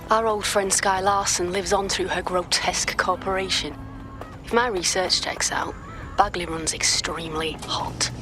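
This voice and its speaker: An actress playing a serious, methodical hacker briefing a team in a video game, measured and articulate. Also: female voice, on the low side, steady tone, natural voice. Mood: focused. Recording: music under the voice.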